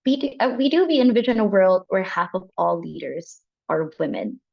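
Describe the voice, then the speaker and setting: A woman's voice: mid-range voice, big pitch swings, normal speed, creaky. A woman hosting an online workshop who thanks people and sometimes restarts mid-sentence. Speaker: workshop host. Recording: muffled lo-fi audio.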